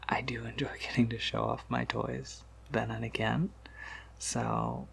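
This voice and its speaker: A man playing an ancient, courteous vampire apothecary keeper in an ASMR roleplay, in a breathy, gravelly whisper with a hesitant, unhurried manner. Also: mid-range voice, exaggerated voice. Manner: hesitant, unhurried, softly chuckling asides.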